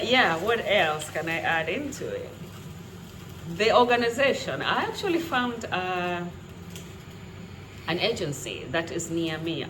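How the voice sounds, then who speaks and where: A woman's voice: on the low side, noisy background. A woman in her thirties from Kenya with a Kenyan accent, talking casually to camera at home and laughing often. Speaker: vlogger. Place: quiet home.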